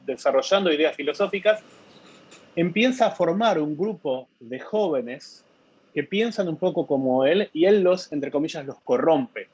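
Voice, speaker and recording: A man's voice: fairly high pitch, a little mellow, slightly rough, dry recording, lo-fi sound. A man, a novelist in an interview, speaking thoughtfully with many hesitations and repeated words. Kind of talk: conversational interview.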